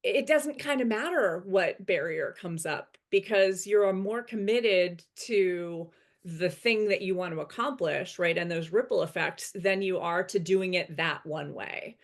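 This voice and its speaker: A woman's voice: on the low side, unhurried. A woman executive coach, a guest on a webinar, explains her ideas steadily over a video call and keeps checking in with "right?". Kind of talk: casual webinar conversation.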